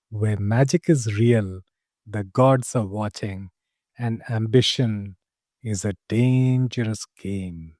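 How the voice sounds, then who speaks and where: A man playing a genial, mysterious tavern keeper who tells fantasy tales in a deliberate, dramatic, confiding manner, as scripted first-person narration. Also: on the low side, dark tone, no echo, clean studio sound.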